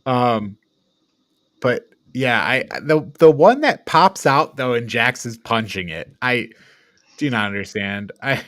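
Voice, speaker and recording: A man's voice: medium pitch, lively intonation, slow pace, fairly bright tone, dry recording, studio quality. A man co-hosting a casual film review chat in an informal, exasperated manner. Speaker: podcast co-host reviewing films.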